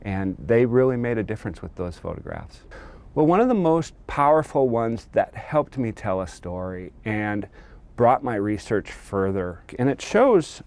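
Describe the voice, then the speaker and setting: Man, very low voice, historian in an interview, earnest, measured, explanatory. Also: a little mellow. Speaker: historian and author being interviewed.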